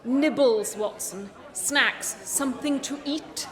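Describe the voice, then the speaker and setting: A woman plays a shrewd Victorian gentleman detective in a comic radio play. The voice is high, formal and precise, with a commanding briskness, and carries long reverb. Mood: inquisitive.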